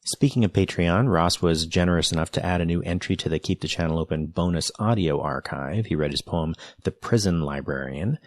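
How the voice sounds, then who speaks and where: A man's voice: low-pitched, a little fast, few pauses, dry recording. A man hosting a podcast, reading an introduction and then chatting earnestly and enthusiastically with a guest, often restarting his sentences. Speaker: podcast host. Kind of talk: read intro then casual interview chat.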